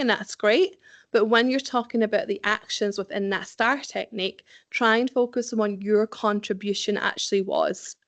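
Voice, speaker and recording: A middle-aged woman with a Scottish accent giving a practical, conversational career-advice presentation, often pausing with "um". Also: mid-range voice, dry recording. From Scotland. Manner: practical, conversational, frequent ums, occasional laughs. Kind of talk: presentation to young professionals.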